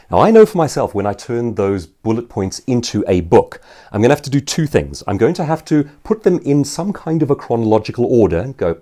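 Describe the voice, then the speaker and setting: A man who works as an author and professional speaker, giving an informal seminar in an articulate, emphatic storytelling manner with dramatic pauses. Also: on the low side, mellow. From South Africa. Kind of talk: informal seminar answering viewers' questions.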